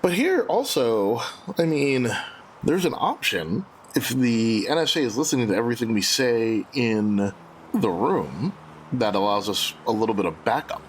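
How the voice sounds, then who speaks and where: Male role-playing gamer, expressive swings in pitch, casual collaborative delivery, slight background noise. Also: normal speed, rough recording. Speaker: tabletop game player. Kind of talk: casual collaborative planning talk.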